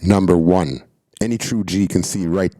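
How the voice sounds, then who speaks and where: Deep-voiced man hosting a reaction show. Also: steady tone, moderate pace, warm tone, no echo, clean studio sound. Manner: hyped, interjecting, slangy. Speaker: podcast host reacting to clips. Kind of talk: casual reaction commentary.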